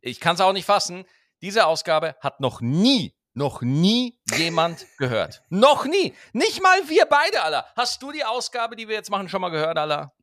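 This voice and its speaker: A man with a rolled R, co-hosting a podcast in casual chat, playful and quick to laugh. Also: sits high, dry recording, studio quality. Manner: animated, playful, laughs often. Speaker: podcast co-host. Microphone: studio microphone.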